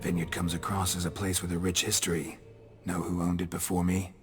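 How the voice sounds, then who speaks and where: An actor with an American accent playing a gruff, stoic, middle-aged monster slayer in a fantasy video game, speaking in clipped, deadpan lines with a gravelly edge. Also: male voice, low-pitched, fairly quick, faint music behind, lightly acted. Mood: curious. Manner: laconic, deadpan.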